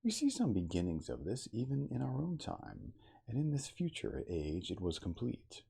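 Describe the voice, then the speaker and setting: A man playing a dignified starship captain, reading a classic novel aloud in a measured, theatrical ASMR audiobook style. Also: medium pitch, huge pitch swings, gravelly, dark tone, breathy edge, heavily acted. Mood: thoughtful, reflective. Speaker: cosplayer reading aloud as a character.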